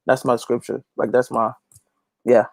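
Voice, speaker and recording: Male interview guest, medium pitch, casual and emphatic, close dry recording. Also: flat delivery, measured pace, dry recording. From Guyana. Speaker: interview guest, gospel reggae singer and youth pastor.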